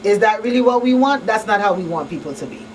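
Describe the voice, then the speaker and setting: A woman's voice: mid-range voice, lively intonation, quick pace, darkish tone. A woman speaking conversationally as a talk show panelist, making her points emphatically with rhetorical questions. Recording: distant mic, faint background noise.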